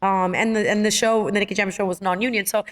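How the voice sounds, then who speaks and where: A young woman chats as a guest, her speech quick and tumbling along. She tells her story animatedly and slips into the voices of the people she quotes. Her pitch sits in a middle range for a woman. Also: steady tone. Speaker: guest, actress and singer. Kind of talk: casual conversational storytelling.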